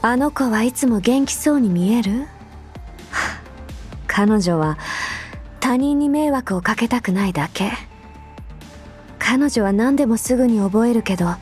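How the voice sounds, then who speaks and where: A gentle, protective anime game heroine , played by a woman, with a fairly high voice and a slow, unhurried delivery. She sounds composed and sisterly, quietly teasing in an intimate way, over background music. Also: natural voice. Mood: gentle, knowing.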